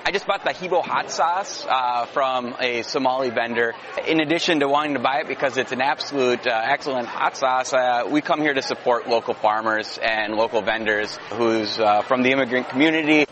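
Halting man, noisy outdoor setting. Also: mid-range voice. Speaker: market shopper. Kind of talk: impromptu interview. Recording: clear outdoor urban noise.

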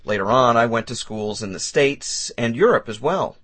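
A man's voice: mid-range voice, lively intonation, moderate pace, a bit bright, no room sound. A man playing a polite, enthusiastic young job applicant in a scripted learner dialogue. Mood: eager. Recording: dry studio sound.

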